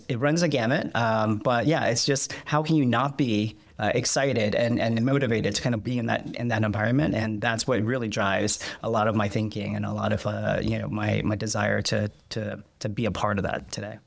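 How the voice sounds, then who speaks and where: Gravelly-voiced man, reflective. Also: fairly low pitch, steady tone, moderate pace, few pauses. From United States. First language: Vietnamese. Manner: reflective, many fillers and restarts. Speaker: guest. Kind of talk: conversational interview.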